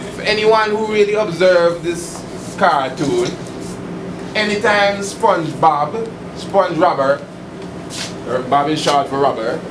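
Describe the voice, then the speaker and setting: High-pitched man lecturing in an echoey room. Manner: emphatic, didactic, with repetition and rhetorical questions. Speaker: preacher and lecturer. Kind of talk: informal esoteric lecture to a live audience. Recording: distant mic, echoey room, noisy hum.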